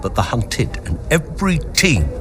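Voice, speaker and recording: An actor playing a middle-aged, ambitious father and racing team owner, speaking in a commanding, deliberate way for a game story. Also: medium pitch, huge pitch swings, noisy background. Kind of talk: cinematic game story dialogue.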